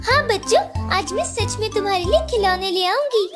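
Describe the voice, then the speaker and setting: A woman's voice: very high-pitched, expressive, a little fast, over music. A woman voicing a hard-working, tender, self-blaming mother bird in a children's cartoon, speaking earnestly and emotionally. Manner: earnest, emotional, thinking aloud. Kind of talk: Hindi children's cartoon voice acting.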